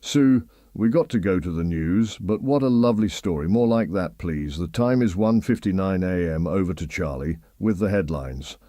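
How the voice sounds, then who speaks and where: Man as a deep, mellow British radio host. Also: lively intonation, moderate pace, hardly any pauses, no room sound, studio quality. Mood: genial, bemused. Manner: chatty, lightly bemused. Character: genial late-night radio phone-in host. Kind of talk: late-night talk radio presenting.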